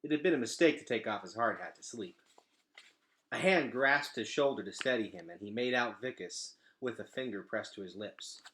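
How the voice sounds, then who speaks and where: A man reading a children's novel aloud as an expressive storyteller. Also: lowish voice, normal speed.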